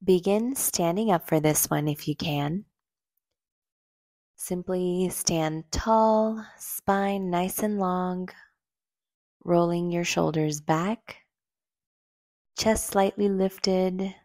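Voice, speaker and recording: A woman leading a guided meditation in a gentle, soothing, unhurried way, leaving long pauses between short phrases. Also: fairly low pitch, no echo. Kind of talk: slow guided meditation narration.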